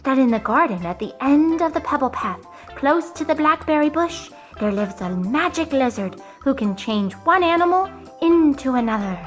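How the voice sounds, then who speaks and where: Woman as a high, sing-song wind-up toy mouse. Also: lively intonation, moderate pace, soft background music. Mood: mysterious. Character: contented male wind-up toy mouse, cherished and cheerful. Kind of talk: children's storybook read-aloud.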